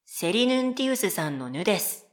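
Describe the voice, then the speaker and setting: A woman voicing a polite, whimsical and stubborn middle-school girl in a comedic radio drama, deadpan and following her own rhythm. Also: medium pitch, brisk, natural voice. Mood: earnestly deadpan. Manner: polite speech, deadpan, self-paced.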